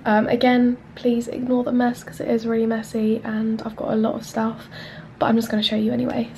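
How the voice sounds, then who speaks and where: Nasal, congested Englishwoman, twenties, gravelly and fairly flat, casual vlog chatter on a camera mic. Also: fairly high pitch, a little hiss or hum. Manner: chatty, filler-heavy, loose and unhurried. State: nasal from a cold. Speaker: vlogger. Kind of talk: casual room tour chat. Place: small student bedroom, indoors. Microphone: handheld camera mic.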